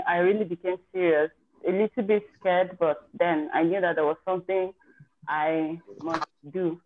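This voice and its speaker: Chatty woman on a tinny video call. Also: on the low side. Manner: chatty and candid, with self-corrections. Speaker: participant sharing her exam success story. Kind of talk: casual storytelling on a group video call.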